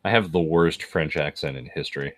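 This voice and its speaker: Deep-voiced man joking dryly. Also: fairly quick, no echo. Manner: dry, jokey, laughs often. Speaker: podcast co-host. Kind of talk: casual commentary chat.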